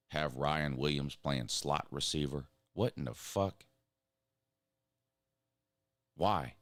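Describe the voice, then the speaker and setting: A man with a drawl and a very deep voice, worn raspy and hoarse. He rants bluntly and swears freely as a show host. The sound is dry and close. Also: no room sound. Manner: blunt and profane, ranting. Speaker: show host reading chat. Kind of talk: casual sports rant.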